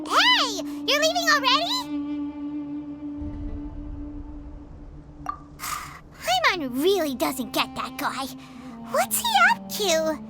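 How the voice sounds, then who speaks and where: A woman voicing a small, fussy, excitable fairy girl with a squeaky childlike voice in a video game. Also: slow, music in the background, exaggerated voice. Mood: exasperated. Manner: exclamatory, refers to self by name.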